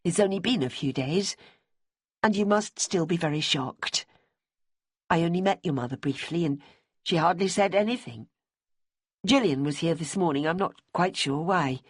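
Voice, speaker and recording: A woman reading an audiobook, voicing a reluctant florist who talks in a firm, defensive way, slightly above her own pitch. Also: moderate pace, long pauses, slightly rough, no room sound, studio quality. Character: plain-spoken woman florist, reluctant and exasperated.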